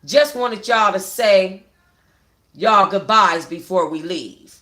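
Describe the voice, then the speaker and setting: A woman's voice: mid-range voice, animated, slow pace, a bit bright. A woman voicing a brash young boyfriend, slangy, offhand and evasive, in a story read aloud. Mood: casual.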